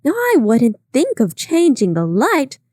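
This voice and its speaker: Brief: a woman doing a shrill, pretentious wife, high-pitched and showy, dry read. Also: expressive, unhurried, dry recording. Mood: fussy. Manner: vigorous, affected. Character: shrill, self-satisfied middle-aged woman.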